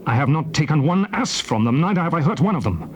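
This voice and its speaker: Indignant bible prophet, kinda high voice, declaiming orders, bit of tape hiss. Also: male voice, very animated, quick pace. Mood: indignant, rebuking. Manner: emphatic, declamatory, rebuking. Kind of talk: dramatized scripture reading.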